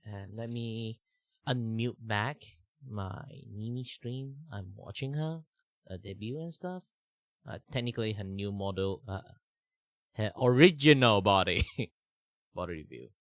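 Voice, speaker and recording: Young male streamer, deep and slightly sick-sounding, casual rambling talk, dry mic. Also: unhurried, pauses often, dry recording. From Malaysia. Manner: rambling, many fillers and restarts. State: slightly sick, rough throat. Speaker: art streamer.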